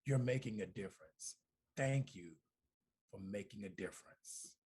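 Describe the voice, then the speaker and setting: A middle-aged man delivering a congratulatory speech as a community organization director, deliberate and emphatic, with rhetorical repetition. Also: fairly low pitch, darkish tone, husky. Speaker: community organization director giving recorded remarks. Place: at home.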